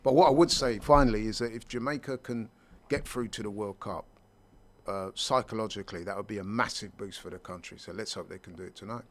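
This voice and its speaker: A man co-hosting a casual sports chat, speaking in a measured, emphatic way and repeating words for stress. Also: lowish voice, a bit bright. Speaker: sports pundit co-host.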